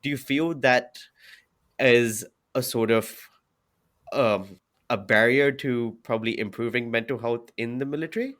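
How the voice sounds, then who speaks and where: A man from New Zealand and the United States hosting a podcast, who asks his questions haltingly with many restarts. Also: mid-range voice, fairly bright tone. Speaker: podcast host. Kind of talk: conversational interviewing.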